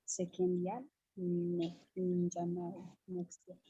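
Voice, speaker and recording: A young woman from Uganda with a Ugandan accent, a student speaking on a panel, hesitant with many pauses and restarts. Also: on the low side, monotone, mellow, breathy edge, no room sound, highs cut off. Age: young adult. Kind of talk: online panel discussion.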